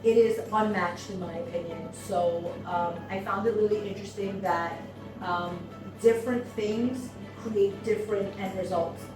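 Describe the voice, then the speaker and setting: A woman who owns a business, giving a thoughtful testimonial with many ums and pauses. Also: medium pitch, fairly even intonation. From United States. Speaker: seminar attendee, business owner. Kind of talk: testimonial interview. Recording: echoey room, mic far off, music behind.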